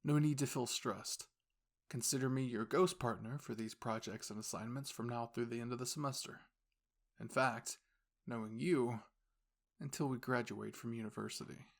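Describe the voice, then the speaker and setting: A man's voice: mid-range voice, lightly acted. A man voicing a composed, formal young university student and caring boyfriend, speaking intimately and reassuringly to the listener in an audio roleplay. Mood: calm, reassuring. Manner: measured, formal, gently reassuring phrasing.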